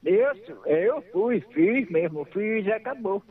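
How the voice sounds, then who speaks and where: A chatty man phones in to a radio show. His voice sits high and swings widely in pitch, repeating words as he goes. The sound is thin and tinny, squeezed through a phone line. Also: expressive, slow pace. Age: 60s. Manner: chatty, repeats himself, laughs easily. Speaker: radio call-in caller. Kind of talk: casual phone chat.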